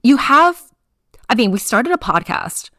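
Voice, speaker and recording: A woman co-hosting a casual chat podcast, expressive as she tells her stories. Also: on the high side, a little slow, no echo, studio quality. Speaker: podcast co-host.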